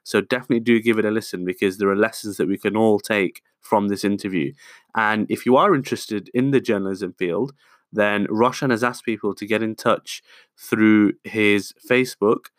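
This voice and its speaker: Low-voiced man giving a podcast intro. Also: steady tone, normal speed, dry recording, studio quality. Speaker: podcast host.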